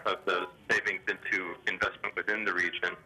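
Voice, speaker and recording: A man giving formal, hesitant economic commentary in a phone interview, speaking in a measured, official way over a telephone. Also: deep voice, level tone, husky, faint music behind. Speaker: development bank official being interviewed. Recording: sharp, grainy telephone line.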